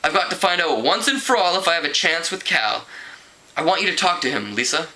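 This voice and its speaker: A man's voice: on the high side, animated, a little fast, sharp tone, low-quality recording. A man reading the part of a lovesick, scheming teenage girl in a novel, dramatic, complaining and insistent. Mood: pleading.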